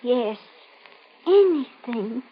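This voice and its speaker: An actress playing an evasive, scheming woman with a halting, dramatic delivery in a 1950s radio mystery. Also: a little high, animated, husky, full character voice. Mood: intense. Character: scheming, evasive married woman, intense and obsessed. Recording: muffled, noisy old 1950 radio recording.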